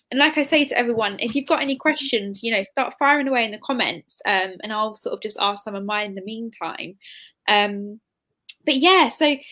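A young woman hosts a casual livestream interview over a video call. Her voice is fairly high, and she speaks informally, feeling her way through sentences. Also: bright, forceful tone. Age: 20s. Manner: informal, hesitant, often says "like". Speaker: interview host, bookshop assistant manager. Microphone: computer mic on video call.

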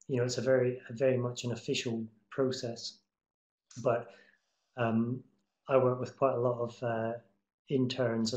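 A middle-aged man talks to students over a webcam, casual and encouraging and full of ums and restarts. His pitch stays level and even throughout. The room around him sounds echoey. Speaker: guest speaker, TV promo editor. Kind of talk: online talk answering students' questions. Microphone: webcam on video call.

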